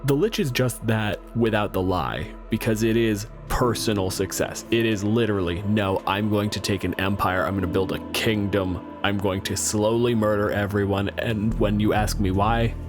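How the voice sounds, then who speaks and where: A man hosting a casual podcast discussion, wry in manner, building his points step by step. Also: on the low side. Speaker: podcast host.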